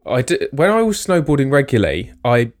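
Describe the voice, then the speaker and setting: A man's voice: medium pitch, sing-song. A young man from the UK, co-hosting a podcast, rambling and poking fun at himself. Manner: rambling, self-mocking, quick laughs. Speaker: podcast co-host. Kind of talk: casual chat.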